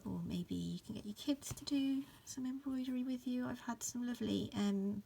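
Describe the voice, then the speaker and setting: A woman in her thirties, sounding croaky from hay fever, talks through an embroidery tutorial in a relaxed, rambling way, with plenty of ums. Her voice has a gravelly edge, and a faint hiss sits behind her. She is recording in an upstairs room at home. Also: on the high side, normal speed. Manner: relaxed, many fillers and restarts. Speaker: embroidery teacher. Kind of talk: casual stitch-along tutorial.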